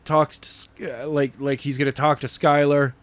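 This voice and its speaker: A man co-hosting a podcast, reading chapters of a novel draft aloud and then chatting about them in an animated, joking way. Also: on the high side, dry recording. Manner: animated, jokey, quick to slip into character voices.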